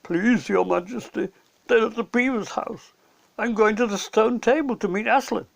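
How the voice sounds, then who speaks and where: A man's voice: high-pitched, moderate pace, creaky, voice pushed up. A man voicing a young boy in a children's storybook, cheeky but easily made meek. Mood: pleading. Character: boy, cheeky and mocking, turning meek and pleading.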